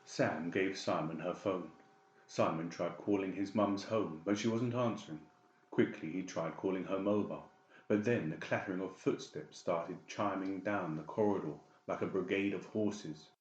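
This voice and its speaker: A man's voice: low-pitched, moderate pace, a little mellow, a little hiss or hum. A man narrating a dramatic audiobook story from a script. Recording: roomy, slightly dull sound.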